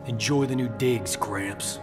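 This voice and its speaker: A man's voice: mid-range voice, a little slow, over music, close to an everyday voice. Man acting a cocky veteran action hero, flippant. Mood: wry. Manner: flippant, wry. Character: cocky wisecracking veteran action hero turned team leader. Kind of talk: video game cinematic dialogue.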